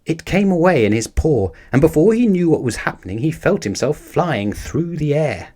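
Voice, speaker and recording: Expressive male children's storyteller. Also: on the high side, normal speed. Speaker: storyteller reading a children's picture book aloud. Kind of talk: expressive read-aloud narration for young children.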